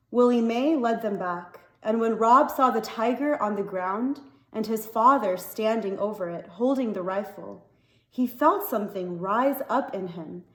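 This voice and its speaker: A woman reading a novel aloud as an expressive story narrator. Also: mid-range voice. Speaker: story reader. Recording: echoey, reverberant room.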